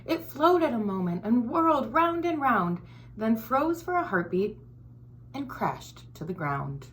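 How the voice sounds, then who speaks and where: Expressive woman reading a picture book aloud. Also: on the high side, a little slow, a little hiss or hum, low-quality recording. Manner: expressive, sing-song storytelling with dropped whispers. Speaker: school board member reading aloud.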